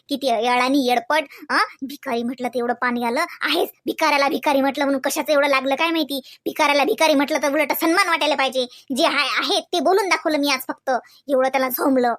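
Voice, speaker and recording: A performer playing a bitter, quarrelsome young wife and mother, who complains rapidly and repeats herself. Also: high voice, a bit bright, dry recording, studio quality. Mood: scornful. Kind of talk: homemade family skit.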